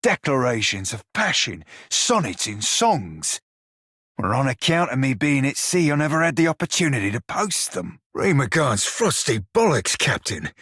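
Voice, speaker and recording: Husky rogue for a video game, sardonic sailor drawl, rough edges, real dry close recording. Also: male voice, mid-range voice, fairly bright tone, no echo, full character voice. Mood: pleading, rueful. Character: roguish blue shark-kin pirate, salty, sardonic, foul-mouthed. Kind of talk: video game character dialogue.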